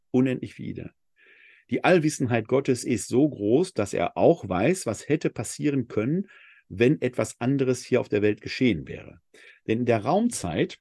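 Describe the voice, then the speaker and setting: A man from Essen giving a Bible lecture, reading scripture slowly and with weight before explaining it reflectively. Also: mid-range voice, warm tone, husky, no room sound, studio quality. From Germany (Essen). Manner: reads scripture passages slowly and with emphasis, then explains in a reflective tone. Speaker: Bible teacher.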